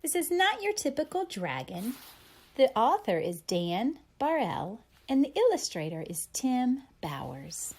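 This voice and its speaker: Female children's read-aloud narrator: higher voice, very expressive, unhurried, dramatic emphasis. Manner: deliberate, animated, dramatic emphasis. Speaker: storyteller reading a children's picture book aloud.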